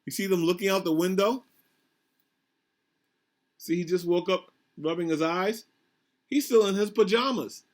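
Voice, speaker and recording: A man, a school principal, reading a picture book aloud to young children in a simple, instructive manner, pausing to ask questions. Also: high-pitched.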